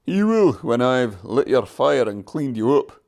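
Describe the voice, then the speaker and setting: A man's voice: mid-range voice, sing-song, unhurried, gravelly, a little mellow. A man voicing a cheery, encouraging engine driver in a children's storybook, with a hearty manner. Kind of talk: children's storybook character reading.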